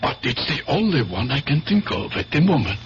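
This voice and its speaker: Emphatic baffled professor, higher male voice, swooping intonation, theatrical, 1970s radio drama. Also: very animated, brisk. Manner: emphatic, theatrical, hesitant when flustered. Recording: muffled, noisy 1970s radio broadcast with hum.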